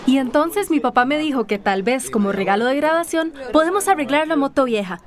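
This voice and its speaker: A woman's voice: sits high, animated, a little fast. A woman playing a chatty, dramatic teenage schoolgirl in a radio soap. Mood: chatty dismay.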